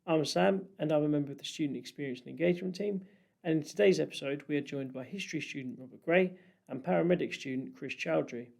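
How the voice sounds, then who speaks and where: A woman's voice: sits low, fast, gravelly, darkish tone. A woman hosting a student podcast, reading a welcome and asking the guests questions. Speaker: podcast host. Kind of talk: scripted intro then casual chat.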